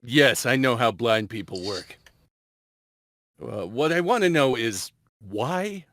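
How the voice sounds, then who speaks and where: A man's voice: mid-range voice, animated, takes it slowly, a bit bright, no room sound, studio quality. A man reading dramatic script lines aloud at an amateur table read.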